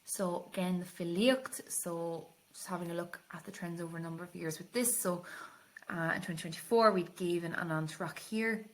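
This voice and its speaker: A woman's voice: lowish voice, fairly even intonation, normal speed, poor audio. A woman with an Irish accent teaching an exam revision tutorial, explaining informally and hesitantly, with Irish-language terms mixed in.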